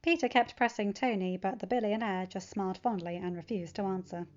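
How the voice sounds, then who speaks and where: A woman narrates fiction as a scripted audiobook reading. Her voice sits at a middling pitch, and the home recording is slightly muffled. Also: steady tone, fairly quick.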